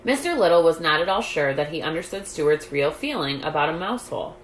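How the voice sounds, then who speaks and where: Storytime reader: woman, low register with fry, measured children's-book delivery, echoey room. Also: expressive. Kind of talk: reading a children's book aloud. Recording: roomy echo, light background noise.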